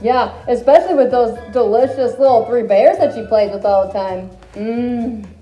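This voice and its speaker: A woman's voice: fairly high pitch, moderate pace, muffled recording, lightly acted. A woman playing a sly, hungry wolf posing as an old granny, giving theatrical, wry commentary in a fairy tale stage skit. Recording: roomy echo, music behind.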